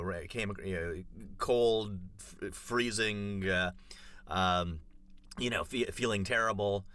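Low bright-voiced man telling a rambling story. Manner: rambling storyteller, many fillers and restarts. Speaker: podcast co-host. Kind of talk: casual chat.

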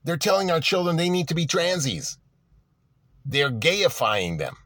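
Sarcastic man ranting, lively pitch. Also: unhurried, no echo, clean studio sound. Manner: sarcastic, full of rhetorical questions. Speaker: political commentator. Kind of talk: monologue to an audience.

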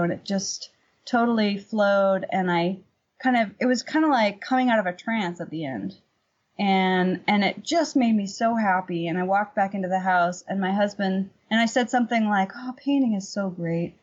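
A middle-aged woman with a husky voice, talking candidly and informally as an interview guest, rambling a little. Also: medium pitch, highs cut off. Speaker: painter, podcast guest. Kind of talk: casual interview chat.

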